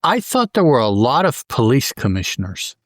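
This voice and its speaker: A man co-hosting a podcast, casually discussing a comic book story and thinking aloud in a halting way. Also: medium pitch, wildly swooping pitch, dry recording, clean studio sound. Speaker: podcast co-host.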